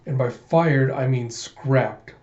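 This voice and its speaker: A man voicing a cold, smug female robot antagonist in a comic retelling, deadpan and taunting. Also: medium pitch, slow pace, natural voice. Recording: dull, echoey room recording.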